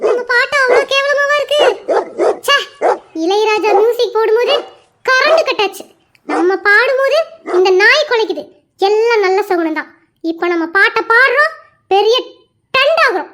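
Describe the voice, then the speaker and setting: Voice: very high-pitched, animated, normal speed, bright, forceful tone, slight background noise, full character voice. A performer voicing a cheeky teenage boy who dreams of becoming a singer, whiny and defensive. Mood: sulky boastful. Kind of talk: comedy skit acting.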